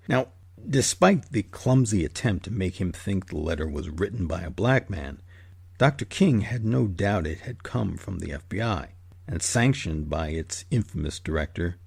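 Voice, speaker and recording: A man narrating a scripted history documentary as host, in a measured, emphatic manner. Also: on the low side, gravelly, mellow, breathy edge, dry recording.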